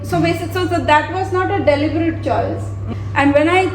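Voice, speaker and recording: A woman's voice: very high-pitched, fairly quick, echoey room, a little hiss or hum. A woman translator speaking as a guest in an interview, answering thoughtfully and sometimes restarting her words as she searches for them. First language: not Dutch.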